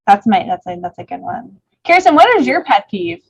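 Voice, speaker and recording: A woman's voice: medium pitch, sing-song, moderate pace, vocal fry, breathy edge, no room sound, rough recording. A woman hosting a casual live chat with guests, talking in a giggly, playful, teasing way. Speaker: host.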